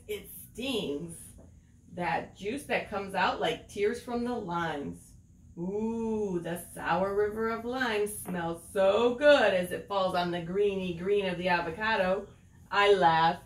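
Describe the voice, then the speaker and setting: Woman, middle-aged, children's read-aloud. Unhurried, animated melody, expressive storytelling. Echoey home room. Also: medium pitch, slight background noise. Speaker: picture book reader. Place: small room at home, indoors. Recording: roomy home recording.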